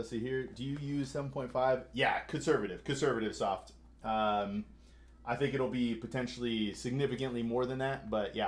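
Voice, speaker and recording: A laid-back man hosts a live stream and talks to his viewers and guests like friends. His voice sits at an ordinary middle pitch, and the room around him is echoey. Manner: easygoing, talks like a buddy. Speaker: livestream host, financial advisor. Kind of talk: casual livestream chat.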